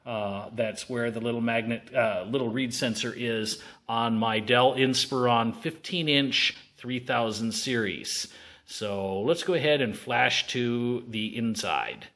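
A man's voice: medium pitch, slow pace, a bit bright. A man at a home table filming a casual how-to on his phone, talking in a folksy, rambling way with jokey asides. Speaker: hobbyist showing a laptop repair. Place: home dining room at a table.

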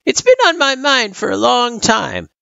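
A narrator voicing a teasing old male turtle monster with a gravelly, wheezy voice and folksy old-timer talk, in an audio story. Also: mid-range voice, wildly swooping pitch, measured pace, pushed and bright, no echo, dull audio, pitched above the performer's own voice, heavily acted. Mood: reflective.

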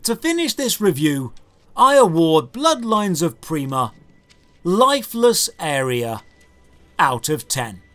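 A man's voice: on the high side, very animated, slow, pushed and bright. A man in his thirties narrating a scripted game review as host, with an emphatic, exasperated, rhetorical delivery. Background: background music.

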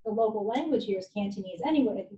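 A woman's voice: medium pitch, animated, fast, dark tone. A woman in her forties, a native Japanese speaker, giving a candid, conversational guest lecture at a university. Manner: candid, conversational, self-deprecating. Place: lecture hall. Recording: echoey hall, dull lo-fi sound.

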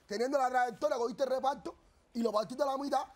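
A man's voice: very high-pitched, fast. A man hosting a studio talk show, chiming in eagerly with short interjections.

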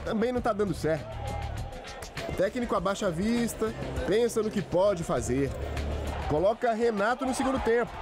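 High Brazilian male voice over loud music. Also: animated, normal speed, pauses often. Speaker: sports reporter. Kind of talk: scripted match report narration.

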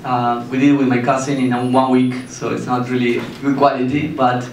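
A man from Argentina in his thirties, a startup founder giving a presentation with a handheld mic, speaking haltingly with many fillers and restarts. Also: medium pitch, little pitch movement. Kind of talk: presentation to an audience. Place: meeting room with a projector screen, indoors. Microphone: handheld mic, filmed by a far camera. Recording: echoey room, mic far off, faint hiss.

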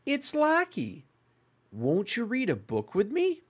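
Casting: children's read-along host, extremely slow and deliberate, with big swooping, exclamatory intonation. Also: mid-range voice, huge pitch swings, husky. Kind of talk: slow animated read-aloud for young children.

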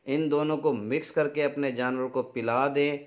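A middle-aged Pakistani-accented man speaks informally as an instructor giving practical farming advice. His voice sits at an average pitch. The recording is somewhat dull and lo-fi. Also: fairly even intonation, moderate pace. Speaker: dairy farming advice presenter. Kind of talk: informal instructional explanation to camera. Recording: dull, lo-fi sound.

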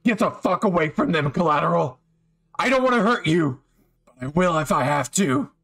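A man's voice: on the high side, expressive, normal speed, a bit bright. A man voicing a hot-tempered, loyal young hero in an anime roleplay, intense and pleading. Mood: angry, pleading. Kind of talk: anime fan ASMR roleplay acting.

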